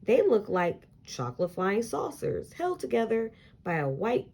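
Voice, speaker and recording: A woman reading a children's story aloud in an expressive, deliberate storytelling style. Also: mid-range voice, breathy edge. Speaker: picture book read-aloud reader.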